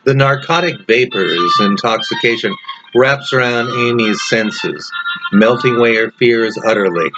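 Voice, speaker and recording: A man reading a story as its author, in dramatic audiobook narration with deliberate phrasing and pauses. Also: mid-range voice. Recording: dull, lo-fi narration track. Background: music.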